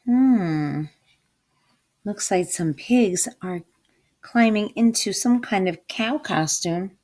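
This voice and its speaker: A woman's voice: mid-range voice, slow pace, a little mellow. A woman reading a storybook aloud to children, with dramatic emphasis and coaxing questions to her listeners. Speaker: storybook reader, teacher. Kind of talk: expressive read-aloud to children.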